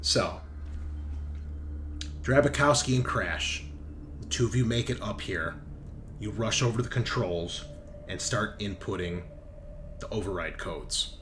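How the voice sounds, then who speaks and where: A man's voice: on the low side, lively intonation, a little slow, long pauses, noisy background. A man running a tabletop roleplaying game as game master, narrating casually and bantering with plenty of swearing.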